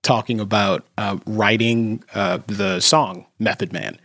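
A man hosting a podcast, explaining his opinions in a measured way. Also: on the low side, steady tone, fairly bright tone, dry recording, clean studio sound. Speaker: podcast host. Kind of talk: casual chat.

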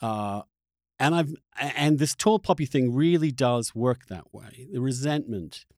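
Halting, searching male interviewer, close dry sound. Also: medium pitch, expressive, darkish tone, no room sound. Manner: halting, searching, often restarting phrases mid-sentence. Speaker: podcast host interviewing a guest. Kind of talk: reflective long-form interview conversation.

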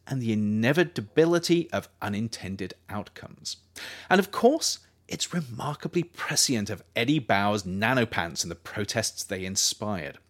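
A man narrates a nonfiction audiobook with a lively, widely moving pitch. His delivery is measured and scripted, leaning expressively on key phrases. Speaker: author reading their own book, narrator.

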